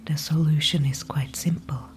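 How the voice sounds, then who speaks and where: A woman voicing an ancient, calm and wise female tree spirit in an ASMR audio roleplay, speaking only in a soft whisper with formal, old-fashioned phrasing. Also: deep voice, moderate pace, slight background noise, close to an everyday voice. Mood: calm, knowing. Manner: soft unvoiced whisper throughout.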